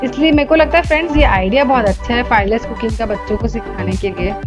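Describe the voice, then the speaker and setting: Woman, voice-over, fairly high voice, chatty and explanatory, music behind. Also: quick pace. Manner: chatty, explanatory, mixes Hindi and English. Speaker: voice-over narrator. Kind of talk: voice-over commentary.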